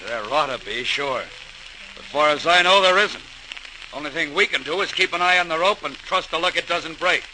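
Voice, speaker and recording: An actor playing a practical railway laborer in 1940s radio style, with a folksy, colloquial way of talking. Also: male voice, fairly high pitch, normal speed, pushed and bright. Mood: resigned. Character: plain-spoken male railway laborer, resigned and practical. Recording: noisy old radio transcription.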